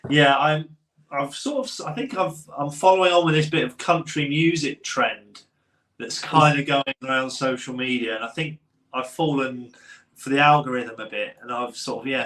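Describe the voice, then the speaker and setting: A man's voice: mid-range voice, fairly even intonation, unhurried, fairly bright tone. Chatty man in a roomy space. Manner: chatty, loose, laughs often. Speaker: podcast co-host. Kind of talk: casual podcast chat. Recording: roomy echo.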